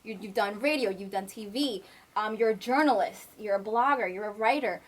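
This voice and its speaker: A woman's voice: mid-range voice, brisk. A woman hosting an interview, chatty and playful in her questions. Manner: chatty, playful, laughs often. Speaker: interview host. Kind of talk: casual interview chat.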